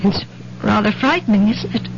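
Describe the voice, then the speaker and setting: A woman's voice: medium pitch, expressive, normal speed, gravelly, background noise. A woman playing an anxious, hesitant patient of a hypnotist in a 1970s radio drama, speaking tentatively. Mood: nervous, curious. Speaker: actress in a radio mystery drama. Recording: muffled vintage radio broadcast. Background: faint dramatic music.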